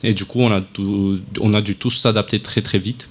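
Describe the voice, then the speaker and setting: A young man, a student guest on a live student radio show, talking in a relaxed, chatty way with many fillers. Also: lowish voice, normal speed. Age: young adult.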